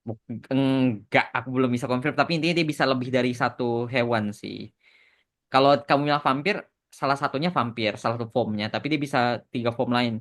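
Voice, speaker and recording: A man's voice: medium pitch, fairly quick, a bit bright. A man in casual podcast conversation, explaining things as a creator, slipping English phrases into his speech. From Indonesia. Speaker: animation creator answering questions on a podcast.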